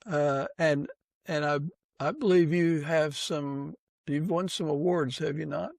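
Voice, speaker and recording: Gravelly man hosting an interview. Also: fairly high pitch, fairly even intonation, a little slow, no room sound, clean studio sound. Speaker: podcast host, interviewer. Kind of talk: casual interview conversation.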